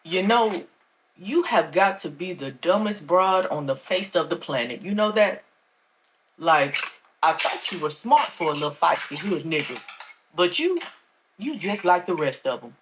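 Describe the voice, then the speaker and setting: Smug sneering preacher guy, deep and slow, a lady doing him. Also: lively intonation, a little hiss or hum, low-quality recording. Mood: contemptuous. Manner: slow, low, condescending. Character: smug scheming male pastor, middle-aged.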